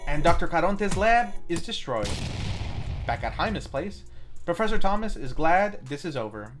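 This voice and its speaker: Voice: mid-range voice, sing-song, moderate pace. A film review host narrating a scripted plot recap with tongue-in-cheek asides. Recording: music under the voice.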